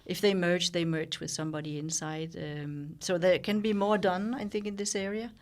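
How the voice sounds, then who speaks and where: Older Danish woman with a low-pitched voice, reflective interview guest, pauses and restarts. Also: steady tone, darkish tone. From Denmark. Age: 60s. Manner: thoughtful, with frequent pauses and restarts. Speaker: guest, journalist and founder.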